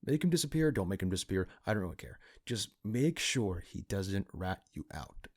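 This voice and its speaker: A man playing a cynical, pragmatic partner in crime to a vigilante in an ASMR roleplay, talking in a casual, halting, conspiratorial way. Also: sits low, very animated, a little mellow, breathy edge, exaggerated voice. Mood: businesslike planning. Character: cynical, pragmatic male criminal accomplice to a vigilante.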